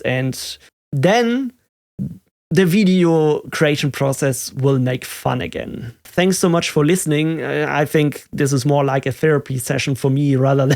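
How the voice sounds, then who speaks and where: A man's voice: on the high side, a little mellow. A man in his thirties with a German accent, native German speaker, talking candidly and reflectively in a personal vlog as a tech YouTuber, with many fillers and restarts.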